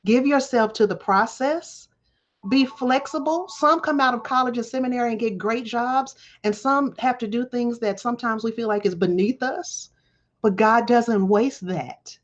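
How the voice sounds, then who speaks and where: A woman's voice: on the high side, moderate pace, muffled recording. A woman in her forties, a college dean from Texas, giving reflective testimony in an interview with emphatic, preachy phrasing. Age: 40s.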